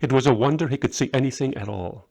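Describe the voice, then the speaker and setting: A man's voice: medium pitch, fast talker, gravelly, a little mellow. A man reading his own short story aloud as a scripted narration.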